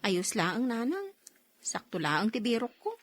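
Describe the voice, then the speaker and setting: A woman's voice: on the high side, sing-song, measured pace, dry recording. A woman playing a hardworking, persistent single street vendor in a radio drama, with an Ilocano accent. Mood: dutiful.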